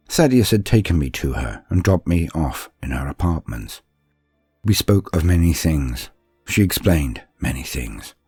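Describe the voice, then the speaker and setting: A man portrays a scarred, earnest psychic apprentice recounting his life in an audio story. The voice is low and husky, dramatic and measured, with soft music behind it. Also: no echo, studio quality, exaggerated voice. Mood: reflective. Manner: dramatic, measured first-person recollection. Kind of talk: scripted audio story narration.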